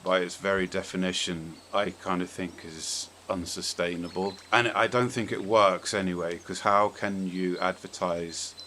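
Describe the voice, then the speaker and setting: A man with a notably deep voice hosts a relaxed, casual discussion. He rambles tentatively, working out his thoughts aloud. Background noise is audible in the recording. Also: normal speed, hardly any pauses. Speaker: podcast host. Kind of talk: casual film and game chat.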